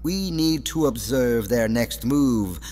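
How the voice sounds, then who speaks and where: A man's voice: mid-range voice, expressive, slow pace, a little mellow. An actor playing a sly, elderly mad professor in an audio drama, theatrical and insinuating as he coaxes. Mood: coaxing. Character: sinister elderly male mad professor, sly, coaxing manipulator. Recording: long reverb, music underneath.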